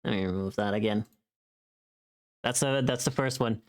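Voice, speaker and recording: A woman's voice: rumbling low, moderate pace. A woman livestreamer chatting casually and informally while she works, thinking aloud.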